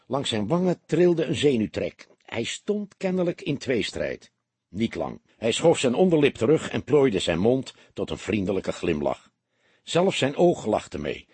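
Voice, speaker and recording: A male audiobook narrator with a very husky voice reads literary prose. His delivery is expressive, with lively pitch movement, and the recording is close and dry. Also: measured pace, dry recording.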